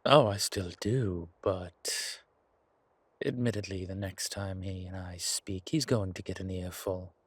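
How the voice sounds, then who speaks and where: A weary old vampire lord, acted by a man for an audio drama. The voice is low and husky and moves slowly, with dry sardonic wit. It sounds close and dry, with old-fashioned formal phrasing. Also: fairly even intonation, no echo. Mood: grumbling. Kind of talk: dramatic audio roleplay acting.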